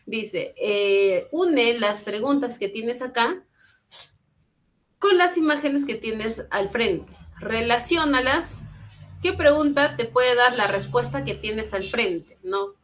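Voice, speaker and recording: A woman's voice: fairly high pitch, expressive, slow, a bit bright. A woman teaching an online English class, patient and encouraging, repeating and translating phrases. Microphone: computer mic on a video call.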